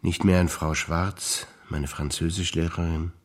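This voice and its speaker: An older man, a stage actor reciting memories in a rhythmic, deliberate listing manner with pauses. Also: low voice, steady tone.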